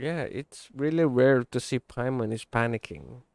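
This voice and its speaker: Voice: mid-range voice, moderate pace, vocal fry, a little mellow, clean tone, no room sound. A streamer giving casual, brief reaction commentary while playing a video game. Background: game music.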